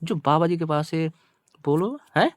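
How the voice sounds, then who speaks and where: Male Indian tutor, Hindi native, lively swooping intonation, dry close sound, deliberate English reading with repeated explanations. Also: fairly high pitch, no room sound. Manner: repetitive, slow word-by-word reading of English, colloquial explanations. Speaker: English teacher explaining a story. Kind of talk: bilingual lesson, reading English phrases then explaining them in Hindi.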